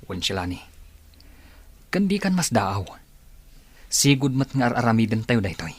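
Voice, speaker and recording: A man's voice: mid-range voice, very animated, a little slow, husky, no room sound. A man playing a stern, impatient rebel plotter in an audio drama, firm and insistent. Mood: resolute.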